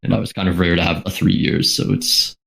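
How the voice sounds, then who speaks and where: Very deep, gravelly-voiced man explaining. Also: little pitch movement, a bit bright. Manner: measured, explanatory, occasional "uh". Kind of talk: casual panel chat.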